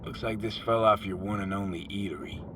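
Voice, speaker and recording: A man's voice: on the low side, steady tone, a little fast, very noisy, close to an everyday voice. An actor playing a laconic, curious male mercenary with a dry streak, speaking tersely and casually in naturalistic video game dialogue. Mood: dry, relaxed. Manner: terse, casual, matter-of-fact. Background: loud music.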